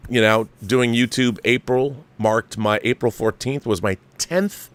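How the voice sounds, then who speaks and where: A man presents his film commentary show with a showman's theatrical flourish. His voice sits at a middling pitch, recorded dry and close with very little room sound. He talks casually and gives his opinions freely. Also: clear, resonant tone, no room sound. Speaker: host of a film commentary livestream.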